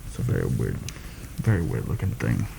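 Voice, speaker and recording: Man playing a very deep, gravelly soft-spoken schemer. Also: wildly swooping pitch, background noise, heavily acted. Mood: conspiratorial, measured. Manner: deliberate, confiding, slightly formal. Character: shrewd, secretive male mercenary plotting a royal assassination. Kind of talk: soft-spoken ASMR roleplay.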